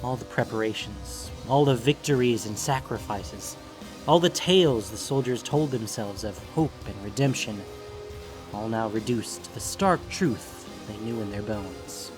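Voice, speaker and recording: A man narrating scripted fantasy fiction in a grave, deliberate way with theatrical emphasis. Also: lowish voice, music in the background, rough recording.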